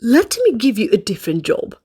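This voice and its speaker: A woman's voice: mid-range voice, huge pitch swings, a little fast, dark tone, husky. A woman voicing a pompous, fussy male restaurant manager in a read-aloud storybook, speaking in a grand, overly formal way.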